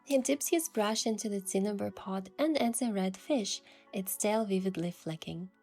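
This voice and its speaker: A young woman gently narrating a romantic story in the first person as its heroine, in a soft, hushed voice. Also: medium pitch, normal speed, faint music behind.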